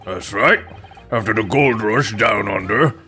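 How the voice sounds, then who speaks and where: A man's voice: lowish voice, a little slow, pushed and bright, faint music behind, voice pushed down. A man voicing a resentful, cocky banker on the witness stand in a courtroom game, with a blunt, pointed manner.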